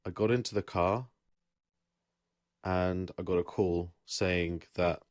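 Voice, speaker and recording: Young English guy, deep voice, laid-back rambling storyteller, dry mic. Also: takes it slowly, no room sound. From England. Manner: relaxed, rambling, with self-corrections. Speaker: podcast host. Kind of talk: casual chat.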